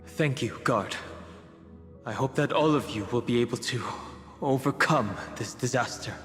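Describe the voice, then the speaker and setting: An actor playing a gentle, bewildered, pensive young male traveller in a video game. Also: medium pitch, moderate pace, close to an everyday voice. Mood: solemn, grateful. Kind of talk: English video game voice acting. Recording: game music underneath.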